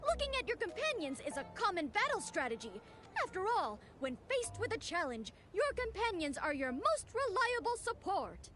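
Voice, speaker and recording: A woman's voice: very high voice, sing-song, normal speed, over music. A woman voicing a grandiose, theatrical examiner of a knightly order in a video game, pompously proclaiming with solemn eagerness. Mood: grand, commanding.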